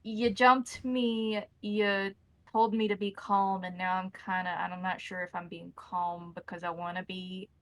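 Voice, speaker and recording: A woman's voice: medium pitch, little pitch movement, measured pace, close to an everyday voice. A woman playing a captured female vampire drifter in a tabletop game, wary and frustrated, rambling as she bargains. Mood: wary, candid. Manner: rambling, mouthy, pleading.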